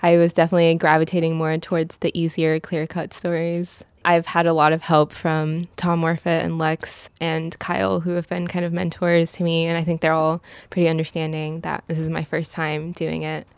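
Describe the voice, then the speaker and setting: A young woman, a newspaper reporter, speaking softly and a little hesitantly in a casual radio interview. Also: deep voice, completely flat delivery, moderate pace, few pauses, smooth, no echo. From grew up in China and Washington, D.C. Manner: soft-spoken, self-described shy, hesitant with fillers.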